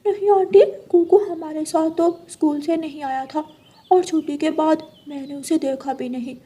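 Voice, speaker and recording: A woman's voice: high-pitched, steady tone, normal speed, mellow, pitched above the performer's own voice, close to an everyday voice. A woman voicing a helpful young boy pigeon in a cartoon story, childlike and polite.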